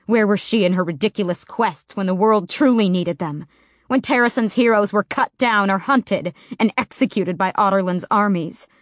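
A woman voicing a fierce, bitter young woman fighter in an audiobook, speaking sharp and raised. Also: medium pitch, animated, moderate pace, no echo, clean studio sound, close to an everyday voice. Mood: furious.

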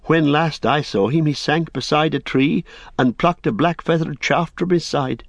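A man voicing a young halfling, raising his voice higher and speaking earnestly. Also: normal speed, no echo, close to an everyday voice. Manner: earnest, faltering then proud.

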